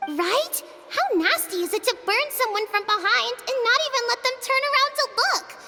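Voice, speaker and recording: A woman's voice: extremely high pitch, animated, moderate pace, pushed and bright, in a large hall, heavily acted. A woman voicing a young girl of a tribe in a game, telling an old legend in an earnest, matter-of-fact way. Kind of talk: game dialogue voice acting.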